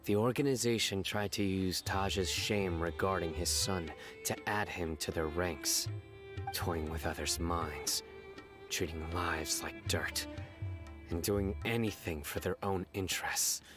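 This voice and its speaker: An actor playing a stern, grave young judge in a game, speaking tersely and measured. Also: male voice, sits low. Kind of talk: game dialogue. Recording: game audio with music behind.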